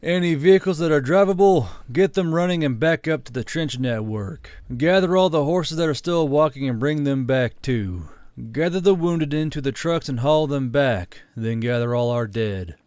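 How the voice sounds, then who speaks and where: A man's voice: a little high, expressive, normal speed, a little mellow, dry recording, natural voice. A man voicing a commanding war leader in a fantasy audiobook, stern but merciful. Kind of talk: audiobook character acting.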